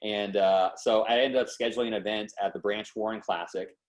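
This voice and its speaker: A man in his late twenties with a somewhat low voice tells stories as a podcast guest. His talk is loose and slangy, and he drops into the voices of people he quotes. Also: a little fast. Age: 20s. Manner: lively, slangy, acts out quotes. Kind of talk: casual storytelling in an interview.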